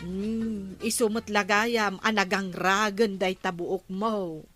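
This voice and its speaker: A woman's voice: medium pitch, fairly even intonation, takes it slowly, a bit bright, no room sound, soft background music. A woman with an Ilocano Filipino accent playing a cheeky, nosy village neighbour in a broad radio comedy. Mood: offhand, teasing. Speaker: radio drama actress.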